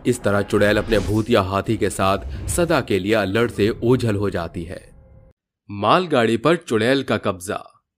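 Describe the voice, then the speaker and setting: A man's voice: lowish voice, measured pace, a little hiss or hum. A man narrating a horror cartoon story in a dramatic, scripted way.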